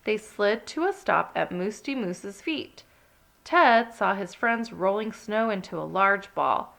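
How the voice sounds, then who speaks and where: Animated woman reading a children's storybook. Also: medium pitch, slow, creaky. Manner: expressive, dramatic emphasis. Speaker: storybook narrator. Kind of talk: children's read-along narration.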